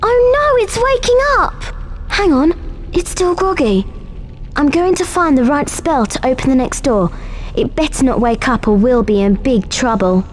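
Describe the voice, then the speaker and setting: A woman's voice: sits high, lively intonation, measured pace, slight background noise. A woman playing an anxious, encouraging schoolgirl witch in a video game, earnest, urgent and instructive. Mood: alarmed, urgent. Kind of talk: video game cutscene acting.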